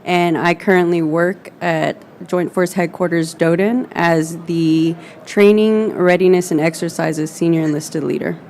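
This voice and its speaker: Panelist, female, from Texas, gravelly fry in the voice, formal intro, faint noise. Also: on the low side, steady tone, unhurried, few pauses, darkish tone.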